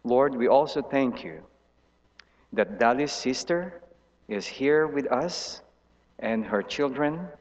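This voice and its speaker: Slow, reverent man praying in an echoing hall. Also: medium pitch, lively intonation, a little mellow. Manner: measured, reverent, pausing between short phrases. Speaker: pastor leading prayer. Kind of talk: solemn congregational prayer. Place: large church sanctuary, indoors. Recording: muffled sound in reverberant church hall.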